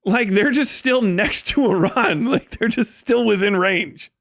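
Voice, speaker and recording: A man's voice: sits high, measured pace, a bit bright, dry recording. A man, recorded close and dry. He talks off the cuff like a streamer, swearing freely, with a mocking, incredulous edge to his commentary. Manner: breaks often into laughter while talking. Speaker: live streamer. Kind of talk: casual rant and commentary to a stream audience.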